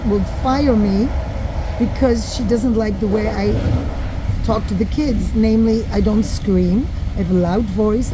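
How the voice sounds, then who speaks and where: Middle-aged woman, opinionated and frank, phone recording, clear train rumble behind. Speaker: drama and yoga teacher. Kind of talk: casual monologue vlog. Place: inside a moving train. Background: train and vehicle noise.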